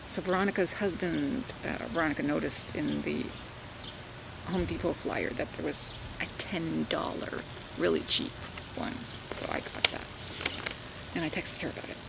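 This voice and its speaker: An older woman from Canada with a Southern Ontario accent, chatting casually to camera as a vlogger and teacher, rambling with many fillers and asides. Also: low voice. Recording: home recording with clear background noise.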